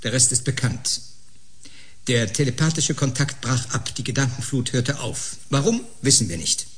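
A man's voice: mid-range voice, expressive, moderate pace, fairly bright tone, close to an everyday voice. A man playing a sceptical, blustering doctor in a sci-fi radio play, sarcastic and theatrically emphatic. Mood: combative, indignant. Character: combative, scornful male doctor, sceptical and blustering. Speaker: actor in a sci-fi audio drama.

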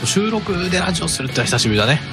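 A man in his thirties co-hosting a podcast with a somewhat high voice. He talks with very lively pitch swings and an enthusiastic manner, over clear background music. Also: very fast. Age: 30s. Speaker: podcast co-host, reviewer. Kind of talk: casual podcast chat.

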